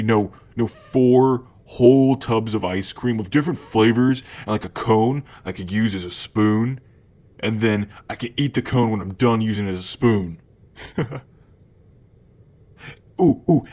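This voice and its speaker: A man's voice: on the low side, a little mellow. A man playing an always-hungry, playful and grumpy demon in an ASMR roleplay, speaking in a casual, slangy way. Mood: playful, eager. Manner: casual slangy talk with frequent chuckles. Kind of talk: ASMR roleplay addressed to the listener.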